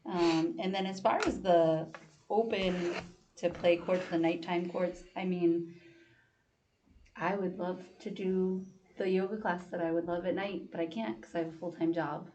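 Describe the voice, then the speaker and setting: A woman's voice: on the low side, steady tone, measured pace, warm tone, dull audio, slight background noise. Hesitant woman at a town meeting. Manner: hesitant, apologetic, many ums. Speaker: commission member. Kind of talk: public meeting discussion.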